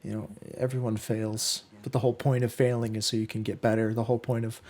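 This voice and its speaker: A hoarse young man in his twenties hosting a casual film reaction, chatty and excitable, with many fillers. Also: lowish voice, quick pace. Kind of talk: casual movie reaction commentary.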